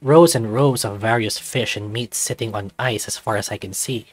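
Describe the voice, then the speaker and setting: A man reading a visual novel aloud as scripted, expressive first-person narration. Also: fairly low pitch, normal speed, dry recording.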